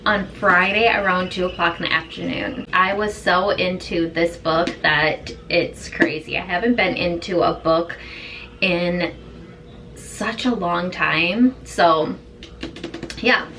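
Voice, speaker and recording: A woman beauty vlogger with a hoarse, raspy voice, chatting in an easygoing, rambling way while doing her makeup at home. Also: lowish voice, slow, sharp tone, far from the mic, slight background noise, rough recording. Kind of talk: chatty get-ready-with-me talk.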